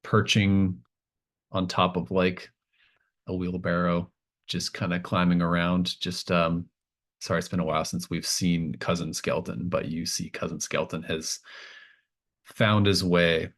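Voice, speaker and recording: A man working as game master, improvising narration casually, thinking aloud in a halting, encouraging way. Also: low voice, little pitch movement, fairly bright tone.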